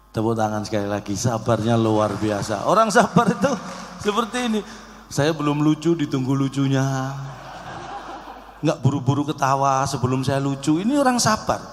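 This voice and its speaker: A man, giving a joking, conversational humorous speech directly to a live audience. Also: medium pitch. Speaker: comic speaker at an organisation's event. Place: large hall event with audience. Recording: echoey hall PA with background noise.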